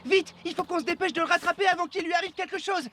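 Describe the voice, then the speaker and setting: A woman voicing a gentle, earnest and easily flustered young schoolboy in a French cartoon, with a pleading way of talking. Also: very high voice, quick pace, a little hiss or hum. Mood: concerned. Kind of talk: French animated cartoon acting.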